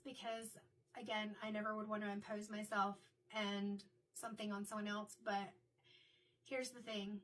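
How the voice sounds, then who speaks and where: A middle-aged woman, a card reader and medium, talking in a chatty, reflective way, her voice a little raspy from illness. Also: medium pitch, sharp tone, recorded from a distance, poor audio. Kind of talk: casual spoken tarot reading intro.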